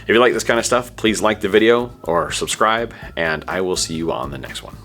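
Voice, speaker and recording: Low-voiced man, casual how-to host. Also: animated, fairly quick, gravelly, a bit bright. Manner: informal, muttering to himself while working. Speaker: DIY video host. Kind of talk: casual hands-on how-to narration.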